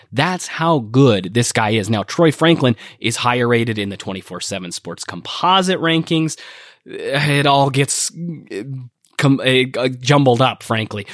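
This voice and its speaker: Man, podcast host, nasal with a cold, energetic, emphatic, dry studio sound. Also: mid-range voice, no room sound. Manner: energetic, emphatic, repeats phrases for stress. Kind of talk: recruiting news podcast monologue.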